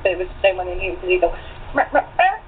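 A woman's voice: on the low side, very animated, quick pace. Hesitant woman on a thin phone line. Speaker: voice actor guest. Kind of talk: casual chat. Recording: tinny phone line with faint noise.

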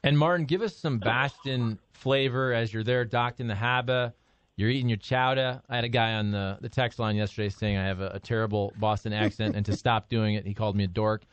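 A man's voice: fairly low pitch, steady tone, brisk, dry recording, dull audio. Man, thirties, radio host, playful, dry studio sound. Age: 30s. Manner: playful, joking. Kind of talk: casual sports talk.

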